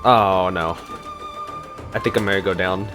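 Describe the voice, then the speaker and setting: A man's voice: low-pitched, steady tone, unhurried, over music. A man giving casual gameplay reaction commentary, musing and thinking aloud as he plays. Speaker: gameplay streamer.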